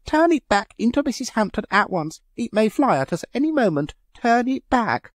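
A man reading a story aloud, voicing an excitable society aunt who pleads and then screams shrilly. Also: mid-range voice, a little slow, dry recording, clean studio sound, pitched above the performer's own voice. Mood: panicked.

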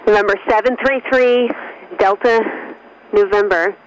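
A woman delivers clipped air traffic instructions over aviation radio. Her tone is flat and stern, with no wasted words. Faint noise runs through the transmission. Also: medium pitch, measured pace, poor audio. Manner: terse, stern.

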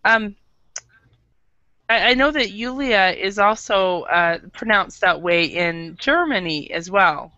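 A woman's voice: medium pitch, lively intonation, takes it slowly, bright, forceful tone, no echo, muffled recording. Chatty American woman on a headset. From Idaho, USA. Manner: chatty, friendly, with many um and uh fillers. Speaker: online English teacher. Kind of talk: casual online language lesson. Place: small room at home. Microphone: headset mic.

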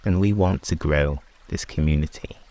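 A man hosting a sleep meditation, speaking in short, hushed phrases with long pauses between them, as in guided prayer. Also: very deep voice.

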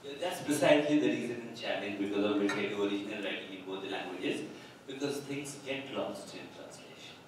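Male bilingual author, relaxed joking panel talk, recorded far off in a reverberant hall. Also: on the low side, fairly quick, background noise. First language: Hindi. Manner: chatty, jokes with the audience. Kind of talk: panel discussion. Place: large hall on a festival stage. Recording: echoey hall, mic from afar.

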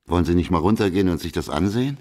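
An actor playing a dry, weary, wry private detective in a German radio crime drama, talking in terse, laconic lines. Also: male voice, low voice, lively intonation, very fast, mellow. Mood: brisk, matter-of-fact. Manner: laconic, terse, sardonic asides.

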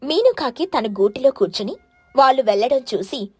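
Slightly husky woman narrating children's stories. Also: high-pitched, animated, moderate pace, soft background music. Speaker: storyteller. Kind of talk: scripted children's story narration.

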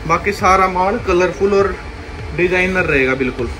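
A young man giving a repetitive, pushy sales pitch for wholesale coats in a big indoor warehouse, filmed on a handheld phone. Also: normal speed, noisy background. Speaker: wholesale clothing seller. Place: large indoor clothing warehouse.

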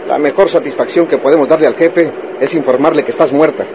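An actor plays a ruthless henchman in a crime radio serial. His fairly high voice is menacing, impatient and emphatic. Noise sits clearly over the old recording. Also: quick pace, dull audio, lightly acted. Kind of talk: Spanish-language radionovela acting.

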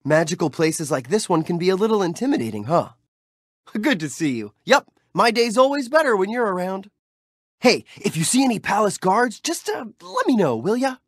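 An actor voicing a cheerful, street-smart young hero in video game clips, with light, boyish, friendly and teasing delivery. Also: male voice, high voice, big pitch swings, moderate pace, dry recording, clean studio sound. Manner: friendly, teasing, easygoing chatter.

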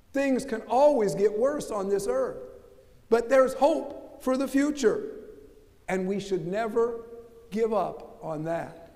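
A man's voice: high voice, darkish tone. An older American man preaching a sermon from the pulpit, emphatic and asking rhetorical questions. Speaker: pastor. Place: large church sanctuary. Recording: long church reverb.

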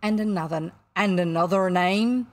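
A woman's voice: on the low side, moderate pace, close to an everyday voice. A woman voicing a proud, brooding male pirate captain in a storybook, commanding and theatrical, drawing out exclamations. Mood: questioning.

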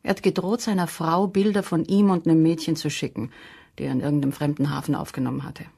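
An actress playing a curt, weary, reluctant witness in a German crime radio play. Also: female voice, sits low, fairly even intonation, quick pace, gravelly, mellow, breathy edge, full character voice. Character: curt, weary, reluctant sister of a murdered man.

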